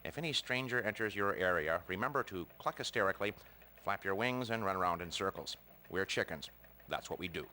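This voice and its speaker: An actor playing an alarmist chicken expert, deadpan and pedantic, in a video game's parody news interview. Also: male voice, deep voice, a little fast, a little hiss or hum. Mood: earnest alarmism.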